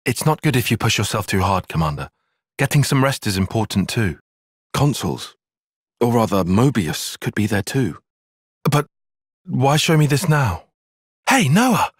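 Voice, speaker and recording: A man's voice: medium pitch, very animated, measured pace, pauses often, slightly rough, no echo, clean studio sound. A man with a British accent voicing an earnest, cautious young soldier-strategist in a video game, speaking in a precise, formal, articulate way. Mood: concerned. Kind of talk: video game cutscene voice acting.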